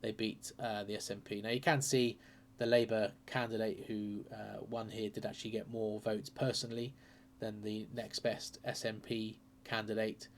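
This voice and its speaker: A man hosting a political channel, talking casually and hesitantly through by-election numbers, his voice a bit croaky from a cold. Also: fairly low pitch, flat delivery, moderate pace, a little hiss or hum. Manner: chatty, hesitant, lots of ums and uhs. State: croaky with a sore throat and cold. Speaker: political commentary channel host.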